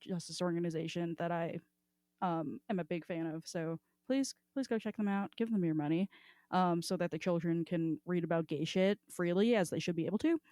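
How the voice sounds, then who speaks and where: A woman's voice: on the low side, a little fast, dark tone, dry recording. A woman hosting a casual podcast chat, talking in an irreverent, informal, sweary way. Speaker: podcast host.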